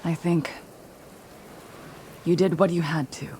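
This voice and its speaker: A woman's voice: lowish voice, fairly even intonation, takes it slowly, mellow, slight background noise. Cold, bitter wounded woman. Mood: guarded, grave. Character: bitter, wounded woman, scornful former slave. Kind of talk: dramatic video game acting.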